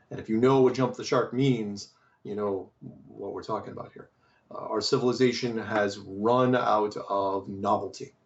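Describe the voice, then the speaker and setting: A man hosting a show who reads and answers listener mail in a casual, rambling way, his voice slightly hoarse and strained, asking many rhetorical questions. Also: on the low side, lo-fi sound. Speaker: podcast host reading listener mail.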